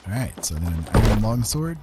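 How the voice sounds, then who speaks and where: A man's voice: lowish voice, big pitch swings, a little fast, heavy background noise. A man giving casual live gameplay commentary, half talking to himself as he plays. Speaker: game streamer.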